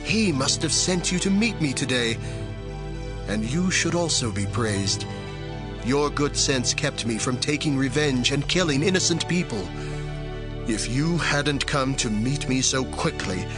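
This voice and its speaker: Actor as a solemn biblical warrior leader over music. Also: male voice, a little high, close to an everyday voice. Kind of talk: dramatized audio Bible acting.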